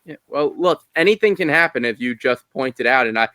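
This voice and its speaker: A man's voice: medium pitch, animated, brisk, pushed and bright, no echo. A man co-hosting a podcast, putting questions in casual sports interview chat. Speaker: podcast co-host.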